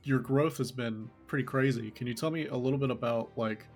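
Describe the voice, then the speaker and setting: A man's voice: medium pitch, fairly quick, soft background music. A man hosting a casual podcast interview, asking loose, rambling questions. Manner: relaxed, rambling questions with many likes and restarts. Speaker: podcast host.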